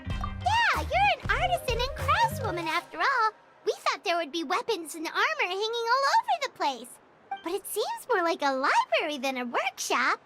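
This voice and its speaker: Squeaky little fairy companion. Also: female voice, huge pitch swings, normal speed, exaggerated voice. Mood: chatty. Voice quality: very high, squeaky. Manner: bubbly and curious. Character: small floating girlish fairy companion, chirpy. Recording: game audio under loud music.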